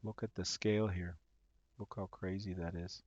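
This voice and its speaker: A man with a hoarse voice giving casual, excitable live-stream commentary, rambling and gushing with amazement. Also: deep voice, normal speed, no room sound. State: hoarse from talking all day. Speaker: live streamer, virtual world tour guide. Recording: dull lo-fi stream audio with music underneath. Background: music playing.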